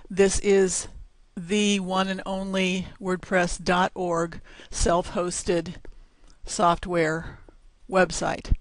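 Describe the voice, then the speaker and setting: A woman's voice: fairly low pitch, no echo. A woman presenting a screencast tutorial, explaining steps one at a time with fillers, her voice scratchy as she loses it. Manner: slow, step-by-step explaining with fillers.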